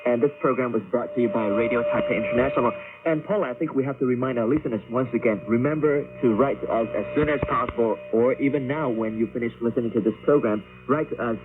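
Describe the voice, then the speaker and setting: A man's voice: medium pitch, fast talker. Hesitant male radio host on tinny broadcast. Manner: hesitant, wordy, repeats phrases. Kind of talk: casual co-hosted radio announcing. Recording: tinny shortwave radio broadcast, music behind.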